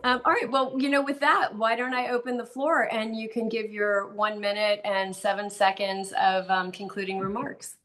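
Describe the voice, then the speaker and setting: A woman's voice: medium pitch, steady tone, moderate pace, hardly any pauses, fairly bright tone. A woman chairing an endorsement interview over a video call, speaking casually with many fillers. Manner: casual, filler-heavy, friendly. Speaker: committee chair moderating interviews. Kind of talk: moderating an online endorsement interview.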